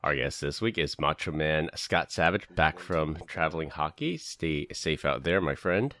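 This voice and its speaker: A man's voice: rumbling low, normal speed. A man hosting a casual tech podcast, joking and laughing often as he runs through the stories. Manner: laughs often, jokey and animated. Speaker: podcast host.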